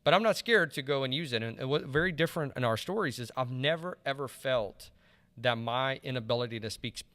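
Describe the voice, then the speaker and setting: A man's voice: mid-range voice, brisk, a bit bright. A man in his late thirties hosting a casual interview, rambling and often checking in with "right?". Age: late 30s. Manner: rambling, frequent "right?" check-ins and restarts. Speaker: podcast host.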